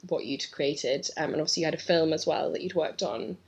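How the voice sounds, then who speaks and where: A woman's voice: sits low, brisk. A woman hosting an interview, asking questions and encouraging her guest. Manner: encouraging, fills in with affirmations. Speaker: interviewer, podcast host. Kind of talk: casual interview.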